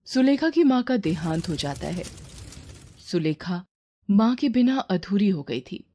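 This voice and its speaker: A woman's voice: medium pitch, sing-song, measured pace, dark tone. A woman reading a scripted moral story as its narrator.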